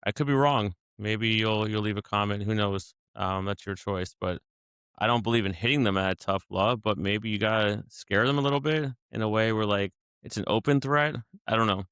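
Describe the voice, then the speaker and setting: A man's voice: fairly low pitch, moderate pace, fairly bright tone, smooth, no echo. A man casually reflecting to his viewers about anger and daily life, talking in a searching, conversational way with many asides and restarts. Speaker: vlogger sharing daily reflections.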